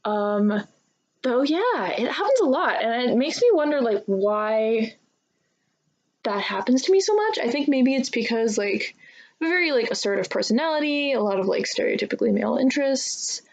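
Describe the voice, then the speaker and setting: A woman's voice: a little high, very animated, normal speed, muffled recording. Casual young-sounding woman vlogger, rambling and conversational. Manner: rambling, conversational, frequently acts out imagined dialogue. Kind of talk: casual, confessional chat to viewers.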